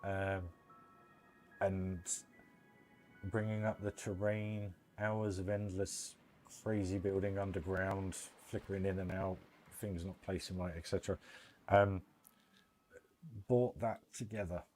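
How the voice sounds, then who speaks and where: Bright-voiced low-pitched man streaming. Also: flat delivery, slow, long pauses, faint music behind. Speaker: livestream host. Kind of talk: casual gaming livestream commentary.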